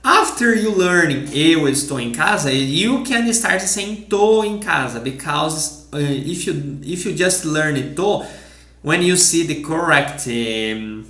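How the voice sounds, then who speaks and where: A man from southern Brazil with a Brazilian Portuguese accent, teaching with examples and repeating phrases slowly. Portuguese is his first language. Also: fairly high pitch, animated, bright, forceful tone, reverberant.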